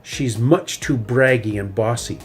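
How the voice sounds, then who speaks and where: A man voicing a stubborn, fiery young female bear cub who shouts when crossed. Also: mid-range voice, unhurried, soft background music, natural voice. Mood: angry. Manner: shouty, indignant.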